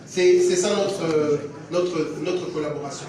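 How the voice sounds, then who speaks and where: A man's voice: on the high side, fairly even intonation, measured pace, a crowd in the background. A man, a company representative explaining a business deal at a press conference, with many restarts. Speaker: representative of a music platform. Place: echoey conference hall with an audience. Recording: echoey hall, heard from far off.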